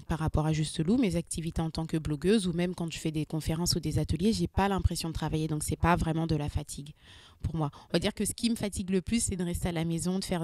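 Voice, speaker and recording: A woman in her thirties from France, a guest in an interview, speaking casually with many hesitations and pauses. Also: fairly low pitch, darkish tone, no room sound.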